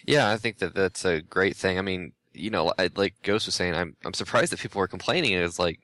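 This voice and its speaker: A man's voice: low voice, fairly quick, bright, forceful tone, no echo. A man chatting casually on a podcast roundtable, easygoing and amused. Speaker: podcast guest writer.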